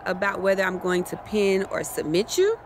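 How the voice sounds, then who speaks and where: A woman's voice: mid-range voice, very animated, moderate pace, a little hiss or hum, close to an everyday voice. An actress playing a self-assured female wrestling challenger with a teasing manner. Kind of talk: video game cutscene acting.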